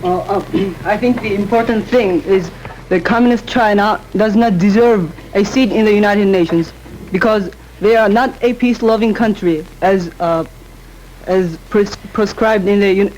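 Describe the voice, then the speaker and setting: A teenage boy argues his side in a student panel debate. He speaks haltingly, filling pauses and restarting phrases. His voice is very high for a young man, with a faint hiss behind it. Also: darkish tone, low-quality recording. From Korea. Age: teens. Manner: hesitant, many fillers and restarts.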